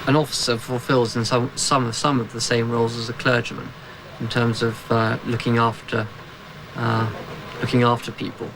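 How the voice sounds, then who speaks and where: A man's voice: medium pitch, level tone, moderate pace. A young man, a new army officer, giving formal, hesitant interview answers while searching for words. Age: young adult. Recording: noisy field recording.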